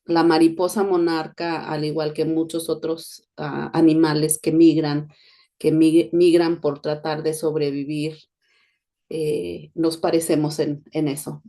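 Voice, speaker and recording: A woman's voice: deep voice, level tone, unhurried, dark tone. A middle-aged woman from Mexico, speaking with a neutral Spanish accent as a podcast host in an earnest, reflective monologue. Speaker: podcast host, education consultant.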